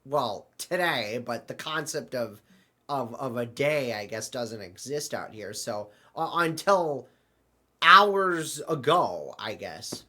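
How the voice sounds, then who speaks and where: A man playing bewildered, apologetic travelers in an amateur audio drama, with a halting, stammering delivery. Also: mid-range voice, animated, bright, forceful tone, heavily acted. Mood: flustered. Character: bewildered, apologetic accidental travelers stranded in the void.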